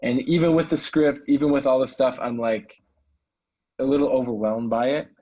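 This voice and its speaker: A man's voice: medium pitch, steady tone, normal speed, warm tone, dull audio. A man speaking casually and candidly, a little self-effacing, as a salesman on a recorded call. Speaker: salesman, prospective coaching client. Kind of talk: casual, candid phone conversation.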